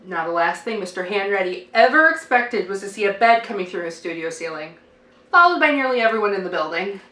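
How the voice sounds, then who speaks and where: A woman reading a children's picture book aloud like a teacher, with expressive, deliberate emphasis and a dramatic sing-song delivery. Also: medium pitch. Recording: roomy, distant microphone, faint noise.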